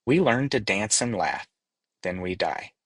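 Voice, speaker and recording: A wise storybook leaf, read by a man, calm and reassuring. He explains plainly in a slightly low, husky voice with little room sound. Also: unhurried, dry recording, full character voice. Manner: matter-of-fact, explanatory.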